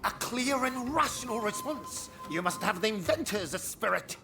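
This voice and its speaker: A man voicing an excitable, eccentric inventor in a video game, flustered and theatrical in his delivery. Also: high voice, normal speed, music in the background. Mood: pleased, eager. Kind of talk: video game cutscene acting.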